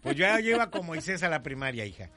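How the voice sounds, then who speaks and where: A man's voice: a little high, very animated, quick pace, bright, forceful tone, dry recording, faint music behind. A Mexican man in his forties co-hosting a morning radio show, joking and teasing his co-host. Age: 40s. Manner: joking, teasing, reads listener messages aloud.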